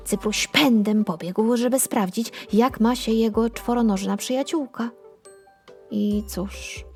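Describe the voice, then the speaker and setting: Slow-paced woman reading a children's story. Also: mid-range voice. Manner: expressive, unhurried storytelling. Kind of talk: scripted bedtime story narration, acting out dialogue.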